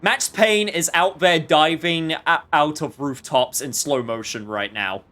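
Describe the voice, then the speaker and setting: Rambling, higher-voiced male game streamer. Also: a little slow, a bit bright. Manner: rambling, jokey asides. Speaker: livestreamer. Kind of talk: casual game stream commentary.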